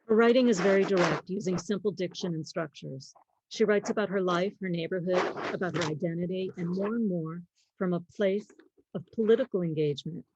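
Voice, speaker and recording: A middle-aged woman lecturing on literature, reading in a measured, deliberate way with pauses. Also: on the low side, highs cut off, background noise. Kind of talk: prepared lecture, reading quotes and poems aloud.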